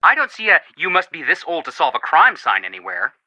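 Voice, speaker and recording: An actor voicing an excitable, flippant young male amateur detective in a video game, joking in an exaggerated, mock-dramatic way. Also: on the high side, a little fast, no echo, exaggerated voice. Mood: playful outrage. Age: young adult.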